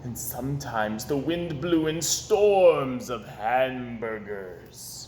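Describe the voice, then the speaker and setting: A man reading a children's story aloud in an expressive, animated storytelling style, with dramatic pauses and emphasis. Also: mid-range voice. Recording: echoey room with background noise.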